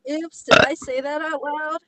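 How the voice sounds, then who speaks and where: A woman's voice: high voice, steady tone, moderate pace, very noisy. A woman reading an online story aloud as a podcast co-host, in a casual way with sarcastic asides.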